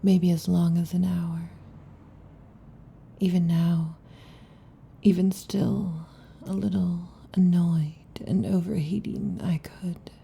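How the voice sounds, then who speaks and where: A possessive snake-woman lamia, played by a woman whispers intimately into a close mic with a gravelly, low, mellow tone. She teases slowly, coiling around the listener in an ASMR roleplay. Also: flat delivery, a little hiss or hum. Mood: teasing whisper. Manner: soft intimate whispering, teasing. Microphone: close sensitive mic.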